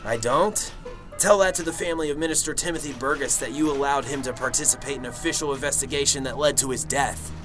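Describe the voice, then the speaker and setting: A cynical, world-weary radio drama detective, acted by a man. He is dry and sardonic, speaking at a middling pitch with faint music underneath. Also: fast, fairly bright tone, natural voice. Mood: accusing. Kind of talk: amateur radio drama acting and first-person narration.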